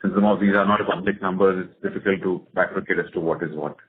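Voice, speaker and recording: A man with an Indian accent answers business questions in a deep voice. He talks quickly. The call audio is dull, with faint background noise. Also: fairly even intonation. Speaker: company executive answering analysts. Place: conference call. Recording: noisy, narrow conference phone line.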